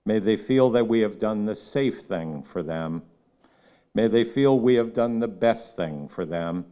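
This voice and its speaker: A man leading a solemn opening reflection at a ceremony, speaking in a measured, reverent way that falls at the end of each phrase. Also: fairly low pitch, expressive, dark tone, clean tone. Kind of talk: solemn spoken prayer. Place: ceremony at a medical center, crowd gathered.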